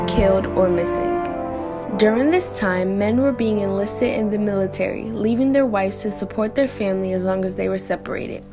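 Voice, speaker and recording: A woman narrating a student history documentary, reading from a prepared script. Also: medium pitch. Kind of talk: scripted narration, read aloud.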